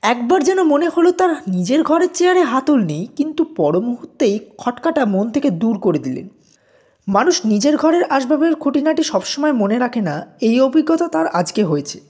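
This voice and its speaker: A woman's voice: sits high, sing-song, a little fast, a little mellow. A woman narrating a scripted audio story in a dramatic, suspenseful way. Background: music under the voice.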